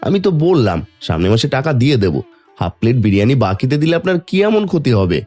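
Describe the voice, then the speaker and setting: A man playing a poor, gentle, doting village father in a Bengali cartoon, pleading and humble. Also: medium pitch, sing-song, quick pace, lightly acted. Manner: pleading, humble, soft-hearted. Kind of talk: Bengali fairy tale cartoon dubbing. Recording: music under the voice.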